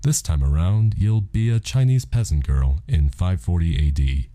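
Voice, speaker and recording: A man voicing a calm, matter-of-fact God in an audiobook, casual, deadpan and reassuring. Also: deep voice, moderate pace, dry recording, natural voice. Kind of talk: audiobook character dialogue.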